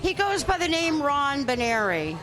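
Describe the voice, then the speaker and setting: A woman's voice: sits high, very animated, normal speed. A woman playing a grand, haughty mature lady in an amateur comic radio play, with formal, theatrical delivery. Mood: indignant, formal. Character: grand, mature lady demanding her stolen dentures back.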